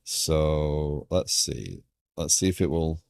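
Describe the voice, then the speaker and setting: A man with a British accent softened by years in America, chatting casually on a coding live stream and thinking aloud in a stop-start way. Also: extremely low pitch, lively intonation, slow, vocal fry, dry recording, clean studio sound.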